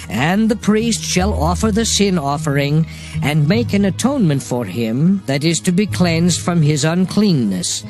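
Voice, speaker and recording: A male narrator reads scripture in a measured, solemn way. His voice sits fairly high and moves with lively intonation, over soft music.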